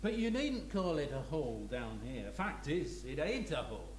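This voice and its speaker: A man performs a blustering provincial schoolmaster from a Victorian novel, gruff and cunning. His voice sits a little high. It rings in a roomy live space with some background noise. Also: sing-song, a little slow. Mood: blunt, smug. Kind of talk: dramatic reading of a Victorian novel.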